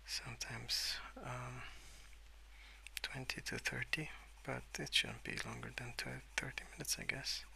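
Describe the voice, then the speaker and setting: Hushed man, crackly soft-spoken ASMR. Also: medium pitch, huge pitch swings, unhurried, gravelly. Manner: hushed, slipping into whispers, hesitant. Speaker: host of a colouring ASMR channel. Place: at a wooden desk indoors, with pencil sounds.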